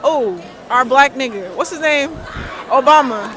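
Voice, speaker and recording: A woman's voice: high-pitched, lively intonation, measured pace, fairly bright tone. A teenage girl giving short, casual, slangy answers as an interviewee. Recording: crowded public place. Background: busy crowd chatter.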